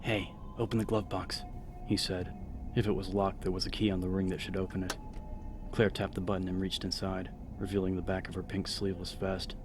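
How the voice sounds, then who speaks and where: A man's voice: sits low, monotone, brisk, over music. A man narrating horror fiction in a dramatic, scripted audiobook style.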